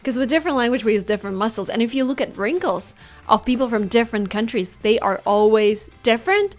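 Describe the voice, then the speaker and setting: A woman with a Russian accent leads an online English lesson, with a voice that sits a little high. She acts out example phrases with big swings in intonation. Light background music plays under her voice. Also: fast talker. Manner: lively, demonstrating phrases with exaggerated intonation. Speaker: English teacher.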